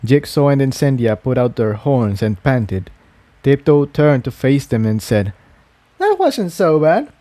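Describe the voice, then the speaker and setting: Man, story narration, medium pitch, very mellow timbre, dry recording. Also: measured pace, no echo. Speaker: narrator, fan fiction reader.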